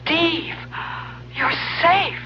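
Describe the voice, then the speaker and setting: A woman's voice: very high voice, drawn-out words, slight background noise, exaggerated voice. A woman playing an anxious, devoted young American, breathless and fretful in a radio drama. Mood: worried. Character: anxious, devoted young female friend of the hero. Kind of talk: 1970s radio drama acting. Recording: muffled, humming old radio broadcast.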